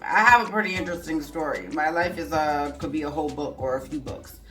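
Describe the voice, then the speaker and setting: A woman in her forties from Haiti, a vlogger telling her life story in a chatty, animated way and calling her viewers "y'all". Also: deep voice, quick pace, echoey room. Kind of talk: casual storytelling to camera.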